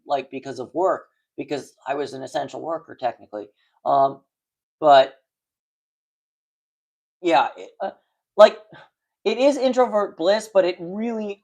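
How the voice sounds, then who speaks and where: A man's voice: fairly high pitch, a little mellow. A man livestreaming late at night, chatting casually and frankly with his viewers, with a stutter that makes him repeat words and restart sentences. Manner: rambling, frank, lots of fillers and restarts.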